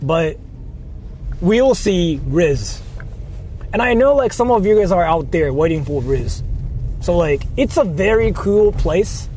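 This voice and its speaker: A man's voice: high voice, lively intonation, slow, long pauses, mellow. A man with a Japanese accent, a native Japanese speaker, chatting casually and slangily on a livestream and often repeating himself. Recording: faint vehicle noise on live stream.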